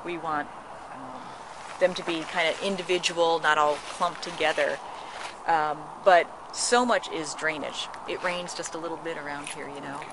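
A woman's voice: low voice, steady tone, moderate pace. A woman working as a nursery guide, explaining plants in an informative, enthusiastic walk-and-talk outdoors in a display garden. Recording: outdoor noise on camera mic.

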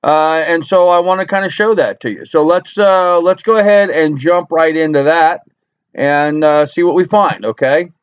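A man's voice: fairly high pitch, steady tone, moderate pace, clear, resonant tone, dry recording. Middle-aged man, American accent, casual rambling commentary, dry small room, muffled computer microphone. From Long Island, New York, USA. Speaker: vlogger. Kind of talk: casual talk-through commentary. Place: small cluttered wooden-ceilinged room indoors. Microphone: computer webcam at desk distance. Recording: dull webcam microphone sound.

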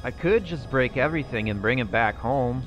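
A man's voice: medium pitch, animated, moderate pace, soft background music. A young man roleplaying through a survival game, musing aloud to himself with nervous outbursts. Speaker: gaming YouTuber roleplaying a character. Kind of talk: in-character gameplay commentary.

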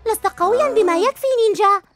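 A woman's voice: extremely high pitch, lively intonation, racing. A woman voicing an eager young boy superhero who wants to prove he is strong, in a dubbed cartoon. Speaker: Gekko, the green lizard hero. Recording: cartoon dub with music behind.

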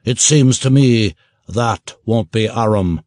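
A man voicing a menacing male gnoll ship captain, speaking slowly with a growl. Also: on the low side, darkish tone, dry recording, clean studio sound, voice pushed up.

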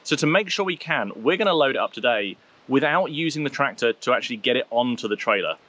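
A man in his thirties, a vlogger, talking outdoors in a wooded clearing and explaining things step by step. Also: mid-range voice, lively intonation, quick pace, bright, forceful tone. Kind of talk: casual explainer vlog.

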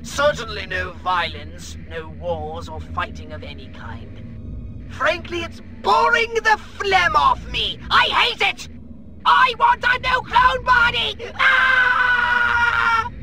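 A fussy, excitable alien scientist with a shrill, reedy voice that climbs very high. His pitch swings lively between whiny lows and giddy squeaks. Game music plays clearly underneath the video game cutscene. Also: slow, exaggerated voice. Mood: bored, whiny.

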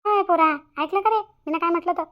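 Woman voicing a shrill-pitched, nagging village mother. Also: normal speed, dull audio. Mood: exasperated. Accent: rural Maharashtrian Marathi. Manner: nagging, repetitive. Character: fussy, nagging middle-aged village mother. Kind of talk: cartoon comedy dialogue.

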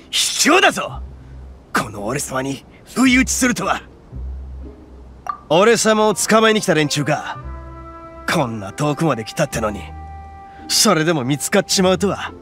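An actor playing a brash, boastful horned oni gang boss who talks in a rough, swaggering way. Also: male voice, on the high side, sing-song, unhurried, pauses often, fairly bright tone. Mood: cocky. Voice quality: rough, swaggering, calls himself grandly. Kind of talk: Japanese game voice acting. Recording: game audio with faint music underneath.

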